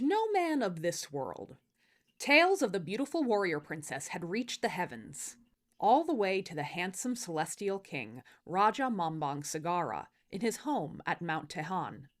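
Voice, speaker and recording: A woman hosting and narrating folktales in an expressive, theatrical storytelling style made for social video. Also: mid-range voice. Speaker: storyteller and series host.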